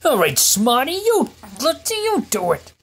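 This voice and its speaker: A woman voicing an eager, excitable little cartoon character in an amateur fan dub, with exclamatory, swooping delivery. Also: sits high, a little slow, pitched above the performer's own voice, exaggerated voice. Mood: indignant. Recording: noisy amateur screen-recorded dub.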